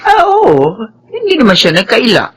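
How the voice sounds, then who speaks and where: An actress in a Filipino radio drama playing a stern, pious older aunt who scolds emphatically but cares. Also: female voice, on the high side, huge pitch swings, normal speed, husky, full character voice. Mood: concerned.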